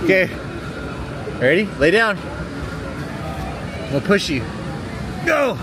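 A man's voice: high-pitched, big pitch swings, slow. A man filming his kids at a fair, playfully teasing them and cheering them on. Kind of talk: casual family vlog. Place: outdoors at a busy fairground. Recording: loud crowd and fair noise.

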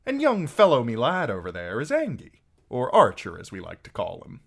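A roguish travelling minstrel in a storybook, voiced by a man. He is genial and wry, with a very animated pitch that keeps sliding about as he teases and coaxes. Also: creaky, breathy edge, exaggerated voice. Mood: genial, sly. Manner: teasing, wheedling, drawn-out. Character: wry, genial roguish wandering male singer.

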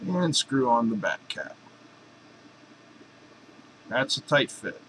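A man's voice: mid-range voice, sing-song, takes it slowly, sharp tone, low-quality recording. Guy in his garage shop walking thru a torch swap, offhand jokes, faint hum behind. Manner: joking, offhand, folksy. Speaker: welding hobbyist demonstrating a torch swap. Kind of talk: casual workshop how-to narration. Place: home workshop, indoors.

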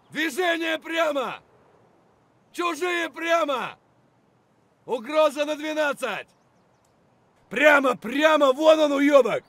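An aggressive video game soldier yelling battle callouts. His voice is strained very high, bright and forceful, with a dry, close sound. He is urgent and crude. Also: no echo, full character voice.

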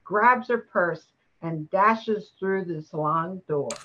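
A slightly dull recording of an older woman reading radio play narration. Her voice sits a little low and she goes very slowly through the story.

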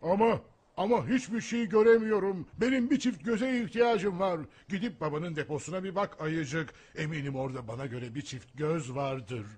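High-pitched plaintive old snowman. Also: moderate pace, darkish tone, slightly rough. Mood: plaintive, needy. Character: old snowman, needy, wanting eyes and clothes. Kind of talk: children's cartoon dialogue. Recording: music behind the voice.

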